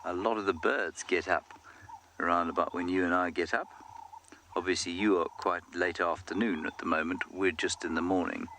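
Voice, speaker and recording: A man guiding a live game drive, calmly explaining the animals to viewers from outdoors in the bush. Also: low voice, moderate pace, a little hiss or hum. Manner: calm, explanatory, speaks directly to viewers. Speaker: safari guide.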